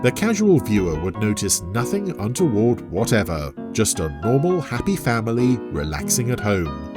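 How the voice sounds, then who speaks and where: A man's voice: lowish voice, big pitch swings, moderate pace. A man narrating a scripted adventure story in a dramatic storytelling style. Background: music and vehicle sound effects.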